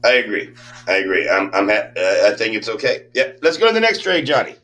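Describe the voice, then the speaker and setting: A man co-hosting a fantasy football podcast, chatting casually and thinking aloud with pauses. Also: fairly low pitch, lively intonation, fairly bright tone. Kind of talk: casual chat.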